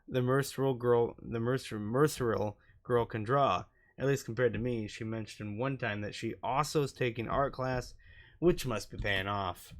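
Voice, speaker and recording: A man's voice: mid-range voice, a bit bright. A man reading a visual novel aloud as a gaming YouTuber, sometimes stumbling and rereading phrases. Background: music from the game.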